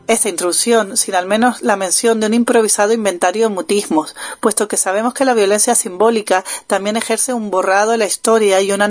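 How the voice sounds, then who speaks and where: A woman reading her prepared radio column in a measured, academic way, with the odd small self-correction. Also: medium pitch.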